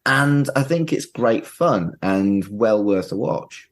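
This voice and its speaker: A man's voice: on the low side, lively intonation, slow. A man in his forties hosting a casual podcast, talking in an emphatic, sweary way. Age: 40s. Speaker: podcast host.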